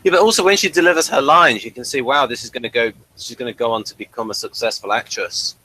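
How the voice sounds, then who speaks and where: A man with a bright, forward voice at middling pitch co-hosts a casual review of television. He comments in a measured, appreciative manner. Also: low-quality recording. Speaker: podcast co-host.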